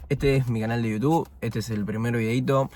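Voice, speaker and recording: A man's voice: medium pitch, brisk, slight background noise. Twenties man with a Buenos Aires accent, easygoing vlogger chatting between bites, loose and rambling. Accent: Argentine Spanish, Buenos Aires. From Argentina. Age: 20s. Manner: relaxed and chatty, many fillers, talking with his mouth full at times. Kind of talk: casual first-person vlog while eating. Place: indoors, eating fast food.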